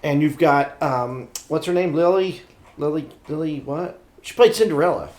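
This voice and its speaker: A middle-aged man with a slightly high-pitched voice chats casually as a podcast co-host. He tells things in an animated, blunt way, with some mildly crude phrasing. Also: normal speed. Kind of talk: casual pop-culture chat.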